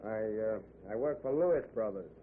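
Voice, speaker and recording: An actor playing a sullen, evasive hitchhiker with a terse, hesitant manner, in 1940s radio drama style. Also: male voice, fairly low pitch, animated, moderate pace, close to an everyday voice. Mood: guarded, evasive. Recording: tinny, noisy old radio recording.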